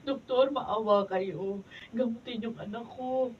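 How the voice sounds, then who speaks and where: A woman's voice: a little high, slow, soft background music. A woman with a Filipino accent acting a desperate young mother in a radio drama, pleading in anguish. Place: radio drama recording session. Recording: distant, noisy, dull camera audio.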